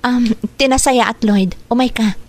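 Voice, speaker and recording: A woman's voice: fairly high pitch, normal speed, natural voice. A woman playing an earnest, protective young daughter in a radio drama, talking in a pleading manner. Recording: faintly noisy radio drama track.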